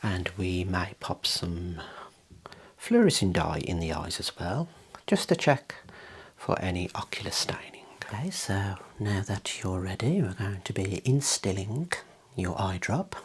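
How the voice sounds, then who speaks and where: Low, soft-spoken male ASMR clinician. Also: very animated, a little slow, a little hiss or hum. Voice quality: soft, breathy, often dropping into whisper. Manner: gentle, procedural, reassuring instructions. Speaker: ASMR host playing an eye clinician. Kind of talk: soft-spoken, partly whispered ASMR clinical role play.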